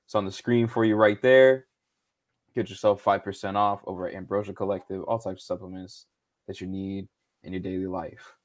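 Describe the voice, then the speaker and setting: Man, slightly low pitch, hoarse and fading voice, relaxed, swearing, casual live-show host. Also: a little fast. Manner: laid-back, loose, swears a lot, says "bro" and "man". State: losing his voice. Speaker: podcast co-host. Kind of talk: casual live-stream chat.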